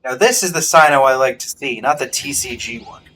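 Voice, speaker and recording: A man's voice: mid-range voice, a little fast, sharp tone, slight background noise. A man hosting a game reaction, commenting casually with wry, offhand asides. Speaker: reaction host.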